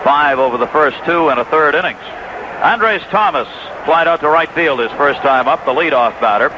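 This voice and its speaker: A man's voice: a little high, lively intonation, normal speed. A man doing live baseball play-by-play in clipped descriptive phrases, in the style of 1980s radio sports commentary. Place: stadium broadcast booth. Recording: dull, noisy old AM radio broadcast.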